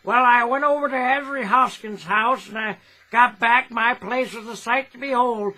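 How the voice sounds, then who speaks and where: A man's voice: very high-pitched, normal speed, sharp tone, voice pushed up, exaggerated voice, poor audio. A man playing a folksy, gullible old farmer with a rural American accent, telling a hearty old-time phonograph comedy monologue. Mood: excited, amused.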